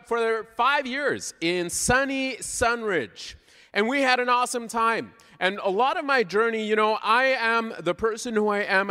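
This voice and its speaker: A man's voice: high-pitched, bright, forceful tone. A man with a Canadian accent gives an informal, playful sermon, conversational and full of questions to his listeners, in a large church hall. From Southern Ontario, Canada. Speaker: guest preacher. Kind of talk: informal sermon with audience interaction. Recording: live hall with long reverb.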